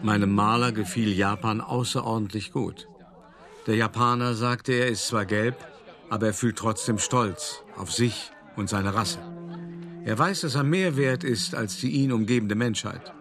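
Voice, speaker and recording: Radio play lead: an older art dealer, low voice, cultivated raconteur, wry and weary, music behind. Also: male voice, a bit bright. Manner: measured, dramatic, cultivated raconteur. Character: elderly male art dealer recounting his escapes, wry, weary. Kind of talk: radio play monologue, storytelling.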